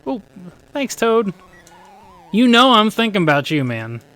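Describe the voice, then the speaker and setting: Slightly hoarse guy around 40, fairly high voice, chatty streamer thanking viewers mid-run. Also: sing-song, unhurried, bright, forceful tone, faint music behind, rough recording. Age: 40s. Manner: upbeat, chatty, thanks viewers while concentrating. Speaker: speedrun streamer. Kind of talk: live-stream gameplay commentary.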